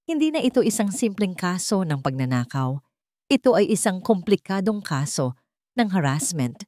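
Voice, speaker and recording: A woman with a Filipino accent reading a scripted dramatic story in a measured, suspenseful way. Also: mid-range voice, a little mellow, no room sound, clean studio sound. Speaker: story narrator.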